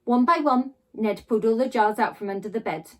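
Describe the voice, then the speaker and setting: A woman reading a children's story aloud in a theatrical way, with dramatic pauses and drawn-out emphasis on key words. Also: on the high side. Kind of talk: expressive children's storybook narration.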